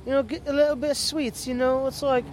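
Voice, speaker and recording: A man's voice: very high-pitched, level tone, fast talker, a little mellow, a little hiss or hum. Rambling man with an English-style accent. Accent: put-on sounding English. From Milwaukee, Wisconsin, United States.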